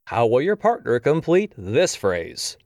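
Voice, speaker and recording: An actor playing a slick, wry television game show host, delivering polished hosting patter. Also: male voice, medium pitch, huge pitch swings, a little slow, darkish tone. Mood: slick, teasing. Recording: noisy studio crowd behind.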